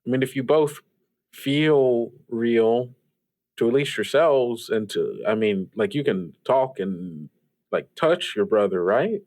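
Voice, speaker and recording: A man's voice: mid-range voice, lively intonation, slow, darkish tone. A man playing an easygoing, supportive radio DJ who talks with callers in a conversational, reassuring way. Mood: gentle, reassuring. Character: easygoing, supportive male radio DJ in a strange world. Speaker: radio call-in show host. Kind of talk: improvised radio show acting.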